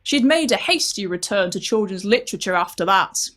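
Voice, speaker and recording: A woman's voice: mid-range voice, animated, fairly quick, fairly bright tone. A woman reading a scripted story aloud as a dramatic narrator.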